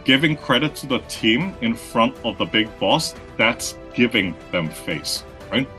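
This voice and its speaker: A man's voice: mid-range voice, lively intonation, measured pace, bright, forceful tone, faint music behind. A man from Austria, raised in the Philippines, giving conversational interview answers, explaining at length and often checking in with "right?". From Austria, grew up in the Philippines. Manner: explanatory, frequent "right?" tags and restarts. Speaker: guest, marketing consultant.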